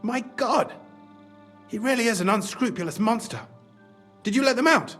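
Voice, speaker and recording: An actor voicing a cunning, excitable official in a medieval video game, wordy and theatrical in a conspiratorial way. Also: male voice, extremely high pitch, moderate pace, soft background music. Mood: shocked. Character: cunning, excitable scheming male mine official, smugly pragmatic. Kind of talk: video game cutscene acting.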